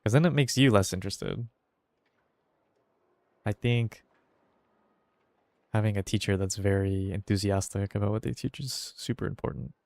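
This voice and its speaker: A man's voice: on the low side, moderate pace, creaky, pauses often, a little mellow, no room sound. A man giving offhand, slangy commentary while playing a game, often muttering to himself. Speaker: game streamer. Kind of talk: casual gameplay commentary. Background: game music.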